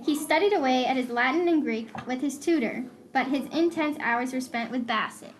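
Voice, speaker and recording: High-voiced woman narrating a puppet show. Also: normal speed. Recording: live show with crowd noise.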